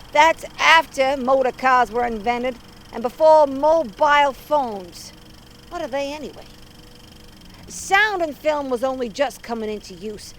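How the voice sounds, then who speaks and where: A woman plays a playful old-time film soundman, telling his own story to children. The voice is high and chatty, explaining things simply, with some ambient noise behind it. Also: a bit bright. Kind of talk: scripted first-person storytelling for children.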